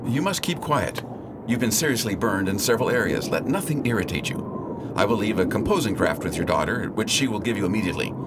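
An actor playing a courteous, inquisitive gentleman physician in an old-fashioned radio drama, speaking formally and theatrically. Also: lowish voice, fairly quick, natural voice. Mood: reassuring, firm. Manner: formal, polite, theatrically animated. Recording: loud background noise in radio play.

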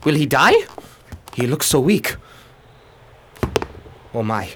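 A man's voice: mid-range voice, sing-song, slow pace, fairly bright tone, a little hiss or hum. Man as a stiff, officious governor's secretary. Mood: concerned, formal. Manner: formal, indignant. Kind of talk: radio drama acting.

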